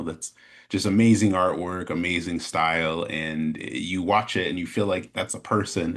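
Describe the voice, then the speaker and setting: Very low, even-toned American man. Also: vocal fry. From Chicago, American Midwest. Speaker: interview guest, animation studio founder. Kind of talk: casual chat, telling his story.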